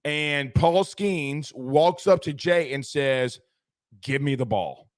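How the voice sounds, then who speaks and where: A hoarse male host, rowdily chatting after a game and reading viewer messages aloud. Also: on the high side, takes it slowly, dry recording. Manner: rowdy, hyped, repetitive. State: losing his voice. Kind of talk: excited postgame sports chat reading super chats.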